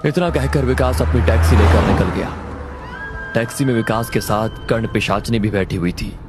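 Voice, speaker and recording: A man narrating a scripted horror story as a storyteller. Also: medium pitch. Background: loud background music.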